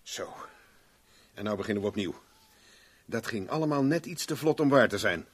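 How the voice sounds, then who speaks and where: Low-voiced, curt private investigator. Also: male voice, animated, normal speed, slightly rough. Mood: stern questioning. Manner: curt, sardonic, commanding. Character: tough, relentless male private investigator, interrogating coolly. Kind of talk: 1970s Dutch radio drama acting.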